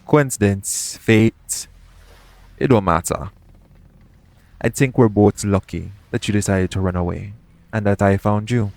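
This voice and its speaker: Man acting a low-voiced roguish pirate captain. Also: unhurried, creaky, pauses often, darkish tone, no echo. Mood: tender, reassuring. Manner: colloquial seafarer's dialect, speaking tenderly to one listener. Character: roguish pirate captain, protective and gently reassuring. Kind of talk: intimate audio roleplay acting.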